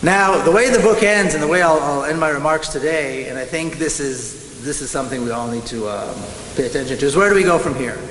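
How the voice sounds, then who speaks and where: A man's voice: fairly high pitch, fairly bright tone. Israeli-accented man speaking in an echoey hall. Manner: emphatic, slowing down to stress key words. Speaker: speaker at a conference. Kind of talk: impassioned public speech. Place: large conference hall. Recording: echoey hall with faint hiss.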